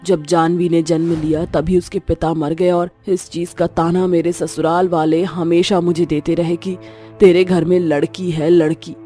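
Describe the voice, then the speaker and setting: A woman's voice: lowish voice, flat delivery, a little slow, warm tone, voice pushed down, natural voice. Horror story witch mother, played by a woman: undead, protective, menacing, aggrieved; music underneath. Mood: bitter, sorrowful. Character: fierce protective witch mother, a vengeful ghost.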